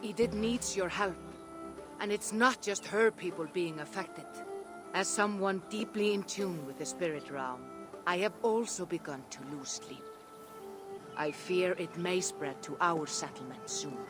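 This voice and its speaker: A woman playing a grave, mystical Norse seer in a video game, solemn, deliberate and quietly insistent. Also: lowish voice, lightly acted. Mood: grave, urgent. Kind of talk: video game character acting. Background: game music.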